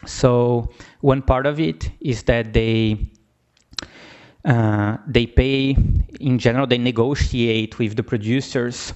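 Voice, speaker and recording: A man from Brazil with a Brazilian Portuguese accent, presenting research at a conference in a halting way, with many restarts and fillers. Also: medium pitch, steady tone, a little mellow, lo-fi sound. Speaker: academic presenter. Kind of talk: conference talk.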